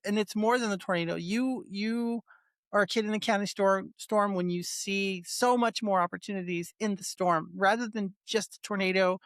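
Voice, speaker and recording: A woman's voice: mid-range voice, no room sound. A woman hosting a podcast interview, chatting enthusiastically and throwing in short reactions. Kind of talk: casual interview conversation.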